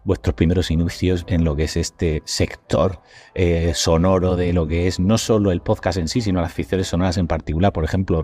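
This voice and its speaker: A man's voice: low voice, darkish tone. A man with an accent from Spain hosting a podcast in a wordy, conversational way, playfully addressing his listeners. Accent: Spanish from Spain. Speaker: podcast host.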